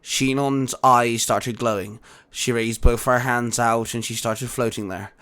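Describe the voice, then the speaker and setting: A man's voice: medium pitch, steady tone, unhurried, fairly bright tone, smooth. A man reading scripted audiobook narration for a fan fiction adventure story.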